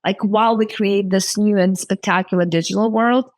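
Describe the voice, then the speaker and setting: A woman's voice: medium pitch, fairly even intonation, moderate pace, darkish tone, no echo. A woman from the former Soviet Union, a venture capitalist guest, speaking thoughtfully with many fillers and restarts. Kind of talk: casual interview talk.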